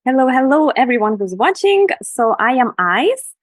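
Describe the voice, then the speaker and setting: A woman's voice: high-pitched, sing-song, normal speed, no echo. Woman from lithuania, earnest presenter, dry sound, video call. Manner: enthusiastic, earnest. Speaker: Instagram business coach, guest. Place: room in Bali at night.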